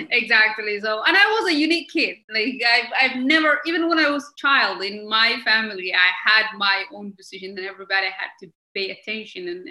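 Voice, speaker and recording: A woman from Somalia, a guest in an interview, speaking emphatically and animatedly, halting often on fillers and breaking into laughter. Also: medium pitch, bright, forceful tone, reverberant, rough recording. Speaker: guest, member of parliament. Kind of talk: conversational interview answers.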